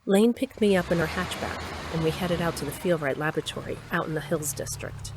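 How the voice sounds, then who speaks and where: Low-pitched actress as a wry daring woman in an audio drama, chatty storytelling, noisy background. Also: a little fast, natural voice. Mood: matter-of-fact narration. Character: wry, daring woman lab worker narrating her covert adventure. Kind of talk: audio drama acting with first-person narration.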